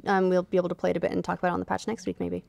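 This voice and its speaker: A woman's voice: on the low side, completely flat delivery, racing, creaky, darkish tone, no echo. A woman in her thirties co-hosting a gaming podcast in casual, conversational talk. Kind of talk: casual chat. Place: podcast studio, indoors. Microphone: studio podcast microphone at desk.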